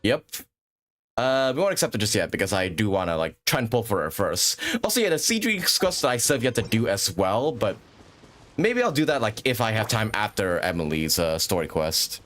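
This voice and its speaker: A man's voice: lowish voice, big pitch swings, moderate pace, a bit bright. A man hosting a livestream with casual, rambling gaming commentary and frequent chatty asides. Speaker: livestream host.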